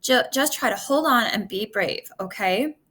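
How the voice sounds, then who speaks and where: A woman's voice: fairly high pitch, expressive, normal speed, bright, forceful tone, breathy edge, heavily acted. A woman voicing a concerned, steadying middle-aged father in a storybook, with a soothing way of talking.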